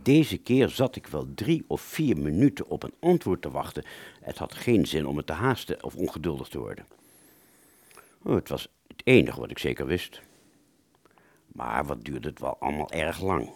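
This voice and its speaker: A male storyteller with a low, creaky voice tells a first-person drama. His delivery is hesitant and conversational, swinging widely in pitch with drawn-out words and sudden emphasis. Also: very animated, a little mellow, dry recording. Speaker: storyteller in an audio play.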